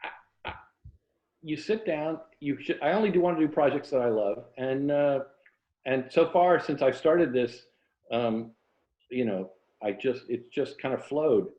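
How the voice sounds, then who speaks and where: A man's voice: medium pitch, animated, a little slow, dark tone. An older American man in a casual interview about his craft, thinking aloud in a conversational way. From Tampa, Florida, United States. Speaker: author, interview guest. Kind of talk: casual literary interview chat. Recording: muffled, echoey room audio.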